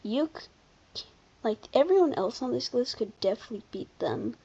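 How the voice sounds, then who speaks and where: A commentator giving casual, unscripted video commentary in an informal, halting way, often backtracking. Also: mid-range voice, expressive, vocal fry, mellow, slightly rough, highs cut off. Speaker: video creator presenting a ranking.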